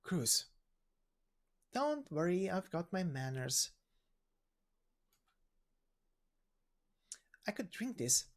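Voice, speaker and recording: A man's voice: high voice, very animated, takes it slowly, full character voice. A man voicing an easygoing, flippant young companion from a game story, with a casual, breezy way of talking. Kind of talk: video game story voice acting.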